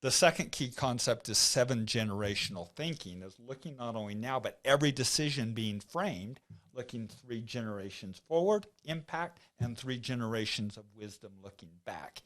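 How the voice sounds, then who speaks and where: A man's voice: mid-range voice, expressive, normal speed, fairly bright tone. A man hosting an interview, affirming his guest and reflecting thoughtfully on what he hears. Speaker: interview host. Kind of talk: conversational interview.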